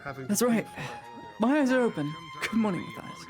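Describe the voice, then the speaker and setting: A woman plays a cheeky, jokey young townswoman in a fantasy roleplay game. Her pitch is highly animated, moving between mumbles and squeals. Music is clearly audible behind her. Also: moderate pace. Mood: playful. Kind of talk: improvised online fantasy roleplay.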